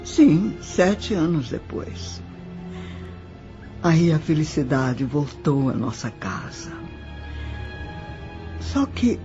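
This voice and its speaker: A man's voice: fairly high pitch, sing-song, mellow, full character voice. A man with a Brazilian accent playing a sorrowful nineteenth-century widow who recounts her life formally in an audio drama. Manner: slow, measured, formal recollection. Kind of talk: scripted audio drama monologue. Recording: slightly muffled, music underneath. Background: background music.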